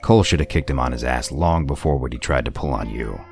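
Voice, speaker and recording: Radio play role: man voicing a gruff wolfish sheriff, extremely deep, heavy gravel, terse and dryly teasing. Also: fast talker, darkish tone, soft background music. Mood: angry contempt. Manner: laconic, dry teasing. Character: gruff, sardonic male wolf lawman, loyal underneath. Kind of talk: audio drama dialogue.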